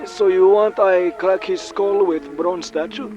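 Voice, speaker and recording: A man's voice: high-pitched, measured pace. An actor playing a brutish, simple-minded Soviet henchman with a Russian accent in a comic radio play. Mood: eager, blunt. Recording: muffled, with music behind.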